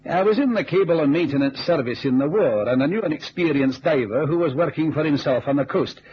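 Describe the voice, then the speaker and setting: Higher-voiced Scottish businessman played by an actor, vintage radio. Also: fairly quick, natural voice. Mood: measured, earnest. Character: earnest Scottish ex-navy businessman recounting deadly lake dives. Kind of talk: old-time radio drama acting. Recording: muffled vintage radio recording with hiss.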